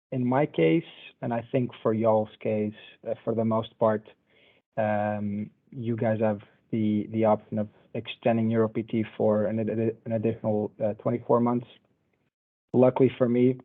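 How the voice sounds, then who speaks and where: A young man from Portugal speaks in a relaxed, conversational way as he presents to an online audience. His voice sits at an ordinary pitch. It comes through narrow and tinny, like a phone line on a video call. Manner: relaxed, conversational, loosely paced. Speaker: panel speaker.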